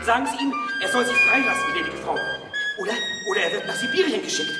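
Flustered, high-pitched, indignant character in an echoing hall. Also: female voice, huge pitch swings, fast. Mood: insistent, commanding. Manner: flustered, repetitive, insistent. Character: agitated, indignant acquaintance urging a lady to protest. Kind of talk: radio drama acting. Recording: echoey hall, distant, loud music behind.

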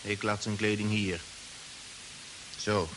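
A man's voice: lowish voice, level tone, takes it slowly. An actor playing a coldly insistent, mind-controlled man in an old science-fiction radio drama, commanding and emotionless. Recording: hissy old radio recording.

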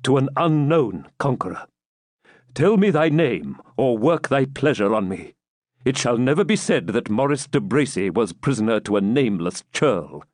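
A man's voice: mid-range voice, big pitch swings, normal speed, a little mellow, slightly rough, no echo, studio quality, pitched above the performer's own voice. A man voicing a proud, stern Norman knight in an audiobook, with theatrical archaic speech. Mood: faint defiance. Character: defiant proud Norman knight commander, beaten into sullen submission.

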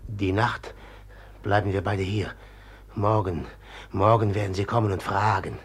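An actor in a German radio drama playing a brooding, submissive killer who speaks haltingly in a hushed, repetitive way. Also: male voice, lowish voice, fairly even intonation, slightly rough. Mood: subdued, haunted. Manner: halting, hushed, repeating himself. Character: brooding, eerily submissive man who has killed a woman.

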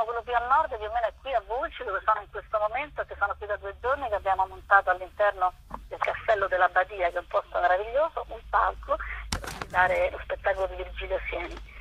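A woman's voice: medium pitch, quick pace, fairly bright tone, husky. A talkative woman explaining at length in a casual interview, speaking over a dull, lo-fi phone line. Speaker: arts programme organiser being interviewed.

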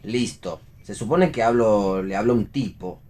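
An actor playing a blunt, deadpan male stage performer in a radio play reading. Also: on the low side, normal speed, natural voice. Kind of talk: radio read theatre dialogue.

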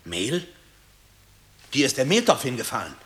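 Sharp-voiced anguished man. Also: mid-range voice, wildly swooping pitch, normal speed. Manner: agitated, intense. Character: anguished husband obsessed with an executed comrade. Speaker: actor in a radio play. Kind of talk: intense dramatic dialogue. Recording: hissy old radio recording.

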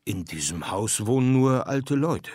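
A man's voice: medium pitch, expressive, a little slow, darkish tone, no room sound, studio quality, voice pushed up. A man voicing a lonely, plain-spoken man from an apartment house in a storybook reading, with a questioning manner. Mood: puzzled, resigned.

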